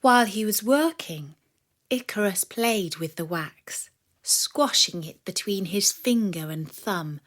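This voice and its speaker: A woman reading a scripted story for children in a measured, expressive storyteller's manner. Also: medium pitch. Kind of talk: scripted narration of a myth for children.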